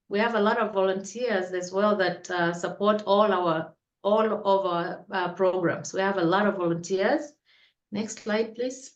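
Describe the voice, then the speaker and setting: A woman speaking in a middle pitch, presenting to a webinar from her office over a video call. Her halting delivery is full of restarts and emphatic "we do" phrasing. The room around her is echoey and reverberant. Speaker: community navigator.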